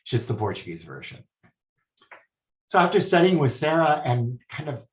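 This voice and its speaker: A man from Hawaii, a guest speaking about healing in a soft, hesitant way with many pauses. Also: medium pitch. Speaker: guest, spiritual healer. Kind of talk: casual interview talk. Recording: echoey room.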